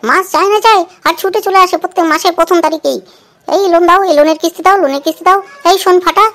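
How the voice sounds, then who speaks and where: A woman's voice: extremely high pitch, rapid-fire, a bit bright, slight background noise. A woman voicing a flustered, grumbling, melodramatic village mother in a comedy cartoon, with a whiny delivery. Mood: annoyed.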